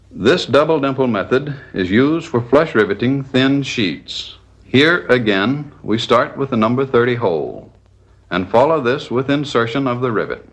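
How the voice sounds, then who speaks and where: A man's voice: mid-range voice, fairly bright tone. A man with a mid-range voice narrates in the style of a 1940s industrial training film. His delivery is scripted, formal and matter-of-fact, moving methodically from one point to the next. It has the sound of an archival film soundtrack.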